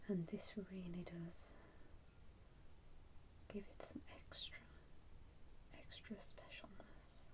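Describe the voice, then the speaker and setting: A woman doing an ASMR roleplay as a shopkeeper, whispering breathily and gently reassuring the listener as she narrates each step. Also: lowish voice, flat delivery, slow, pauses often, rough recording. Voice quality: breathy, mostly unvoiced whisper. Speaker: ASMR roleplay performer playing a soup shop keeper.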